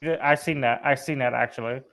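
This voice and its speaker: A man's voice: medium pitch, fairly even intonation, fast talker, background noise. A young American man chatting casually in a group podcast, from Chicago. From Chicago, United States. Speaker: podcast participant.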